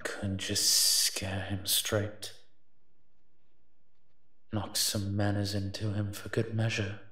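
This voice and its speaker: A man's voice: fairly low pitch, sharp tone. A man voicing a sardonic, protective and menacing monster who teases and mutters asides in a roleplay audio drama. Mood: irritated muttering. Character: eight-foot male smoke monster, sardonic, menacing, protective.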